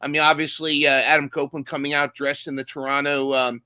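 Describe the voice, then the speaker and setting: A man's voice: a little high, little pitch movement, fast talker, sharp tone, clean tone, dry recording. A man co-hosting a casual recap podcast, talking enthusiastically and often restarting his sentences. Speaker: podcast co-host.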